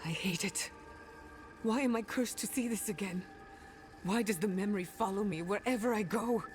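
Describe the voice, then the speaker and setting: A woman's voice: mid-range voice, moderate pace, faint music behind, close to an everyday voice. An actress playing a haunted, defiant woman in a video game, intense and emotional in her delivery. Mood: distressed, angry. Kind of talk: video game dramatic dialogue.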